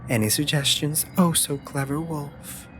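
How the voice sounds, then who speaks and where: A man's voice: a little high, measured pace, over music, natural voice. A man voicing a teasing, dramatic raven familiar in a scripted fantasy audio roleplay, with playful, sardonic and theatrically indignant delivery.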